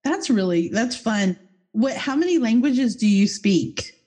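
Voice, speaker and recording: A woman's voice: a little high, lively intonation, measured pace, mellow. Effusive, goofy woman podcast host. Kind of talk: casual podcast interview.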